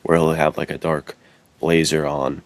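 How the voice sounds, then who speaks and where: A man with a remarkably deep voice and a gravelly edge co-hosts a podcast. He speaks casually and conversationally, using everyday slang. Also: little pitch movement, normal speed. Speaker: podcast co-host.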